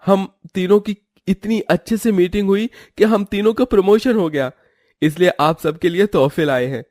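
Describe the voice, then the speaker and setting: A man's voice: high voice, moderate pace, darkish tone, no echo, clean studio sound, close to an everyday voice. A man voicing an affectionate, eager young brother-in-law in a cartoon, earnest and caring. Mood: excited, grateful.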